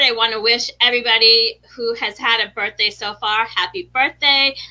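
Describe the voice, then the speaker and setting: A woman's voice: medium pitch, pushed and bright, poor audio. A woman with a New York accent, hosting a live stream and teaching viewers about gemstones in a chatty way, often asking "right?". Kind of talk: live-streamed educational talk.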